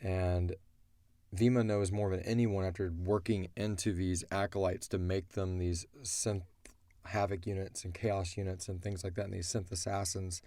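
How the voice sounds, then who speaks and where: Congested man with a low, mellow voice. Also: level tone, normal speed, no echo. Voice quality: congested, stuffy. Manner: low-key, unenthusiastic-sounding. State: congested from a cold. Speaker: host of an audio drama Q&A show. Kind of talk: casual chat answering fan questions.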